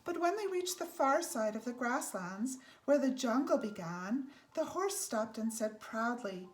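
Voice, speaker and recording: A woman reading a children's picture book aloud as a storyteller, in a measured, deliberate way with emphasized phrases. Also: on the high side, lively intonation. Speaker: storybook reader. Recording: faint background noise.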